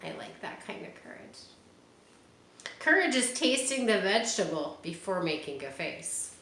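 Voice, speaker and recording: A woman's voice: medium pitch, moderate pace. A woman reading a children's picture book aloud and chatting with young listeners between pages. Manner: animated, talks directly to young listeners. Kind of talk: read-aloud storytime with chatty asides to kids. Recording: roomy echo, faint ambient hiss.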